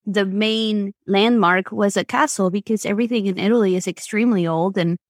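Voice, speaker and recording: A woman's voice: medium pitch, a little fast, no room sound, clean studio sound. A woman with an Argentine Spanish accent, chatting as a podcast guest in a rambling, filler-filled way. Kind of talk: casual storytelling interview.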